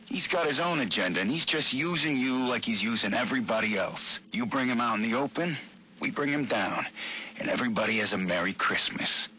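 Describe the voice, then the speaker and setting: An actor playing a crooked, coaxing street cop in a video game, talking in a slick, casual, streetwise way. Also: male voice, medium pitch, animated, brisk, sharp tone, slightly rough, poor audio. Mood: slick, reassuring. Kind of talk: video game voice acting, audio log.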